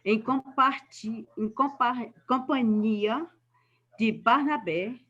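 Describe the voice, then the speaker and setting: A fairly high-pitched woman from Espírito Santo in Brazil reads a passage aloud to a small group. She reads very slowly and deliberately, sometimes stumbling over a word. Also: a bit bright, muffled recording. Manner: slow, careful reading. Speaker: study group participant reading aloud. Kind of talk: reading a text aloud.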